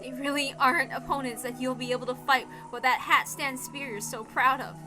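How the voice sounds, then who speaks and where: A woman acts out a tough teenage girl in a visual novel, wounded but defiant. The voice is high, with slangy, bitter, dramatic delivery over clear background music. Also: steady tone, fairly quick, close to an everyday voice. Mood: urgent, bitter.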